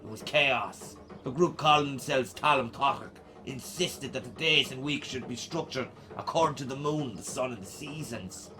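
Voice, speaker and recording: A man's voice: medium pitch, normal speed, hardly any pauses, sharp tone, lightly acted. An Irish man playing a sardonic, slangy teenage punk boy reading out his zine in a radio play. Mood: wry, animated. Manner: irreverent, slangy, sweary.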